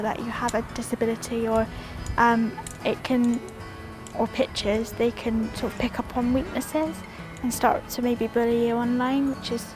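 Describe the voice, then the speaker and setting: Hesitant woman over background music. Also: on the high side. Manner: hesitant, with fillers and restarts. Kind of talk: recorded testimony.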